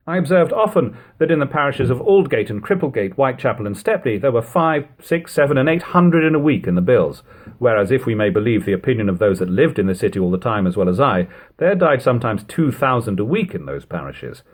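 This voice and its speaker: A man reading an old literary journal aloud as an audiobook narrator, in measured, expressive long phrases. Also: mid-range voice. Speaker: audiobook reader.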